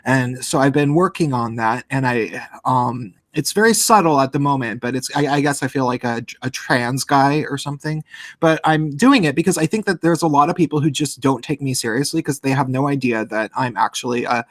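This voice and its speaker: A man's voice: mid-range voice, brisk, no room sound, studio quality. A man in his late thirties with a nasal voice, hosting a casual livestream chat and rambling with frequent restarts. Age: late 30s. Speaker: podcast host.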